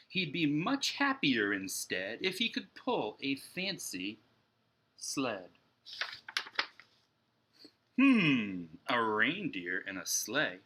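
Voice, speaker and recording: A man's voice: a little high, sharp tone, breathy edge, pitched above the performer's own voice, heavily acted. A man voicing an eager, boastful, imaginative boy in a dramatic, rhyming children's storybook read-aloud. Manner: dramatic, emphatic, sing-song rhyme.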